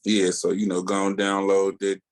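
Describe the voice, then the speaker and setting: A middle-aged man co-hosting a podcast, chatting casually in a laid-back, halting, slangy way. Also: low voice, completely flat delivery. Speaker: podcast co-host and reviewer. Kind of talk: casual chat.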